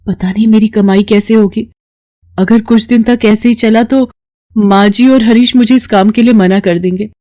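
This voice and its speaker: A woman's voice: mid-range voice, steady tone, moderate pace, dark tone, no room sound, pitched above the performer's own voice, close to an everyday voice. Woman as an earnest young working wife. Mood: worried. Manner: pleading, earnest. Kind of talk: cartoon story acting.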